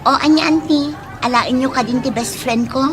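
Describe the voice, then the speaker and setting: A woman's voice: high voice, moderate pace, background noise, natural voice. A woman acting a sulky, haughty young woman in an Ilocano radio drama. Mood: chatty. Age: young adult.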